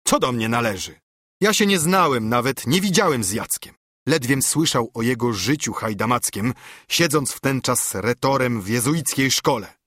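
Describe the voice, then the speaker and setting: A man acts out a stubborn country judge in a storybook told in verse. He is hot-tempered and indignant, blustering in exclamations. The voice is slightly rough-edged and the sound is dry. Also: mid-range voice, moderate pace, a bit bright, no echo, close to an everyday voice. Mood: exasperated. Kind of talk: dramatised audiobook reading of verse.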